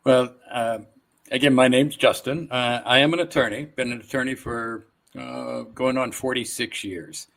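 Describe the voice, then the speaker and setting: A man's voice: medium pitch, steady tone, moderate pace, vocal fry, fairly bright tone. A man over sixty chatting casually as an interview guest, reminiscing as he talks. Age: 60s or older. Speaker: guest voice actor.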